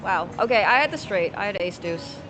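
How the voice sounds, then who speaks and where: A woman's voice: medium pitch, expressive, fairly quick, over music, poor audio. A woman from Finland live streaming casually, thinking aloud in rambling fragments as she plays and chats with viewers. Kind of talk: casual chat while playing poker, answering viewers.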